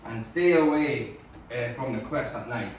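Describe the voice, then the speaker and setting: A man playing a weary, kindly friend in a screenplay table read, gentle and halting. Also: medium pitch, natural voice. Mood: weary concern. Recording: distant, echoey room with faint noise.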